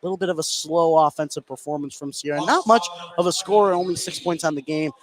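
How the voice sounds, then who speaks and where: A man's voice: on the high side, fast talker. A man doing live play-by-play commentary of a basketball game for radio. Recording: clear background gym noise. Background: gym ambience of a live game.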